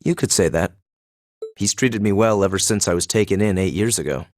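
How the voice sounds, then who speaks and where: An actor playing a reserved, cynical young nobleman in a video game, speaking formally and with dry, measured phrasing. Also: sits low, no room sound, close to an everyday voice. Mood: guarded, bitter. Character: reserved, cynical young illegitimate son of a duke. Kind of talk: English-language game voice acting.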